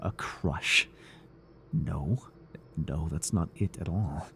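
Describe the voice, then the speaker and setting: A man voicing a devoted, nervy, lovestruck cultist in an ASMR roleplay, earnest and halting, shifting between grand and flustered delivery. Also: extremely low pitch, gravelly, a bit bright, slight background noise, exaggerated voice. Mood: confused, flustered. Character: devoted, awkward young male cultist, zealous, lovestruck, nervy.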